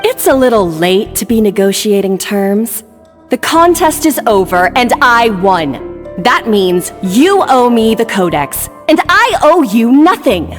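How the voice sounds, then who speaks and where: A woman's voice: on the high side, big pitch swings, unhurried, big-room sound, faint music behind. An actress voicing a smug, ambitious female rival chef in a video game who taunts with sarcasm.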